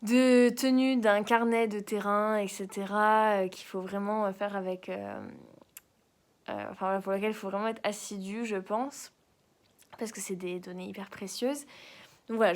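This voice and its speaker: A woman's voice: mid-range voice, animated, normal speed. A young woman, a doctoral student speaking French as a second language, talking casually in a video diary from a hotel room, hesitant and searching for words with light laughs. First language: not French.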